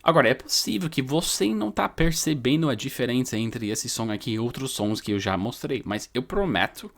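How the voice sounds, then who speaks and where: A man's voice: mid-range voice, animated, fairly quick, vocal fry. A man from New York, a native English speaker with an American New York accent, teaching pronunciation informally to viewers, explaining with repeated, stressed words. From New York, United States.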